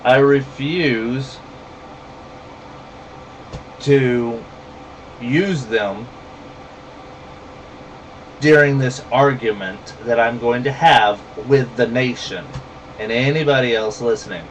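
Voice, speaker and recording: Slow-talking Southern man ranting bluntly. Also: mid-range voice, recorded from a distance, slight background noise, rough recording. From rural southern United States. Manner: blunt, salty, heated. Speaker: vlogger. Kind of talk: ranting monologue to camera.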